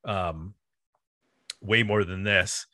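A man casually discussing music as a podcast host, talking in a hesitant, halting way. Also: low voice, steady tone, sharp tone, no echo.

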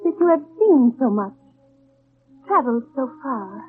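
An elegant baroness speaks in a high, emotional voice, formal yet agitated and wistful. It is vintage radio drama, muffled, with faint music behind. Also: female voice, big pitch swings, a little slow. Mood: wistful, probing. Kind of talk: 1930s radio drama acting.

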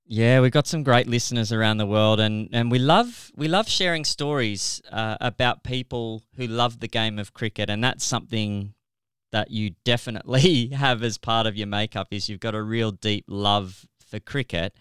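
A man's voice: on the low side, moderate pace, a bit bright, smooth, no room sound, clean studio sound. A man with an Australian accent hosts a podcast, moving between prepared introductions and conversational interviewing. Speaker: podcast host.

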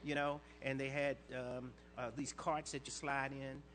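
An older man, a longtime radio disc jockey, answering interview questions with a fairly light voice and quick, inflected phrasing. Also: medium pitch, level tone, fairly bright tone, slight background noise. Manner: inflects words, talks in quick bursts with restarts.

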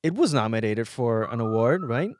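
A man interviewing a filmmaker, asking questions in a relaxed, friendly way. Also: medium pitch, normal speed, slight background noise. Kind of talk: casual interview.

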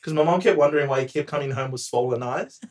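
A man's voice: on the high side, quick pace. A young man from New Zealand, a podcast guest, chatting casually with many ums and easy laughs. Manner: relaxed, hesitant, many ums, laughs easily.

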